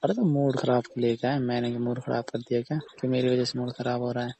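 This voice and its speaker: A man's voice: medium pitch, little pitch movement, fast talker, dark tone, slight background noise, natural voice. A man playing a defensive tailor who keeps explaining himself insistently to a customer in a casual phone conversation. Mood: indignant. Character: defensive male tailor arguing patiently with a customer.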